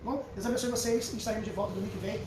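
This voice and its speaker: A man's voice: high-pitched, steady tone, rapid-fire. A middle-aged Brazilian man with a Carioca accent teaching the Bible informally, emphatic and repetitive like a storyteller. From Rio de Janeiro, Brazil. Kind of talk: informal Bible lesson with personal anecdotes. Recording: roomy echo, distant mic, faint noise.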